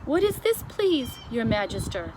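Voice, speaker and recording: Over clear background noise, a woman reader puts on a friendly storybook giant, pitched well up and full of exclamation. The giant bungles grammar and tumbles through invented words with childlike excitement. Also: a little slow. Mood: polite, eager.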